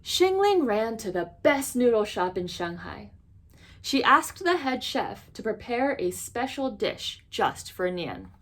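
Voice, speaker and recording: A woman reading a children's storybook aloud as an expressive narrator. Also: medium pitch. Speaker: storybook reader.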